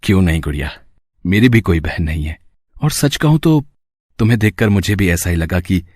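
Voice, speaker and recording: A man playing a kind, encouraging big-brother figure in a cartoon story, with a drawn-out, reassuring, theatrical delivery. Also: sits low, animated, a little mellow, no echo, clean studio sound. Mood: affectionate. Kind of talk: dramatised Hindi cartoon storytelling.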